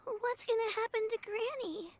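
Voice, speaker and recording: A woman's voice: extremely high pitch, normal speed. A woman playing a small, worried child in a video game.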